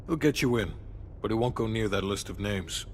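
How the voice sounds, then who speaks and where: A man acting as a hard-nosed, doubting intelligence official in a game cutscene. His voice sits on the low side, clipped and sarcastic, with faint ambience behind it. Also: moderate pace, a little hiss or hum, close to an everyday voice. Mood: stern, sardonic. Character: stern, skeptical, sardonic male intelligence handler. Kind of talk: cinematic game dialogue acting.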